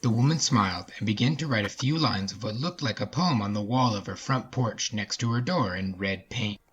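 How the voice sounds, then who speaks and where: A man's voice: lowish voice, normal speed, a bit bright. A man hosting a story podcast, speaking as an informal, easygoing storyteller. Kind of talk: story narration and casual chatty commentary.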